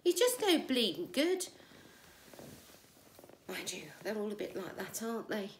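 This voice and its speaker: A woman's voice: medium pitch, very animated, measured pace, a little hiss or hum. A woman acting a jaded middle-aged housewife in a wry, confiding, gossipy comic monologue. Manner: wry, confiding, gossipy, with rhetorical tag questions. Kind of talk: conversational comic stage monologue. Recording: echoey room.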